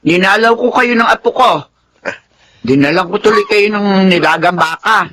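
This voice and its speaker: A woman's voice: medium pitch, moderate pace, a bit bright, lightly acted. An actress playing a stern, protective, disapproving older mother and grandmother in a Tagalog radio drama. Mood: concerned.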